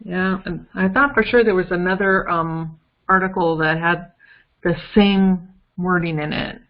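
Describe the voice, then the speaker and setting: A woman co-hosting a casual case discussion, thinking aloud in a halting way on muffled call audio. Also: on the low side, steady tone.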